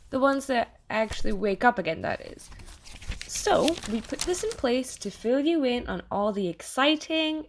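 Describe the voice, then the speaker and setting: A woman's voice: fairly high pitch, exaggerated voice. A woman playing an eager, awkward and apologetic AI assistant who lives inside someone's head, in an audio drama monologue. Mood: awkwardly reassuring. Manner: bubbly, awkward, hesitant, apologetic, over-reassuring. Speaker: AI personal assistant. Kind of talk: audio theatre monologue addressed to the listener.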